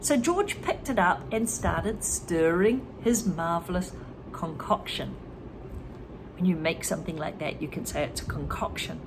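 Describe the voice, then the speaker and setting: Woman reading a kids book, super animated voice, explains words as she goes, faint hum behind. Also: fairly low pitch, measured pace. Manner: lively, explains words to learners. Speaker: reader and English teacher. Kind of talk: children's story read aloud with explanations.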